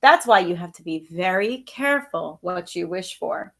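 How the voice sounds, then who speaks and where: A woman's voice: lowish voice, expressive, normal speed, a bit bright. A woman reading the part of a loving old grandmother in a storybook, tender and earnest. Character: loving old grandmother, gentle, devout and wise.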